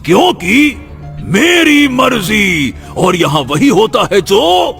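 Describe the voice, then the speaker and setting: A menacing cartoon demon, gloating and taunting. The pitch rides high and swings widely, with words dragged out very slowly. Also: male voice, very animated, creaky, a bit bright, soft background music, heavily acted. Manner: slow, drawn-out, taunting delivery. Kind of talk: animated village fairy tale acting.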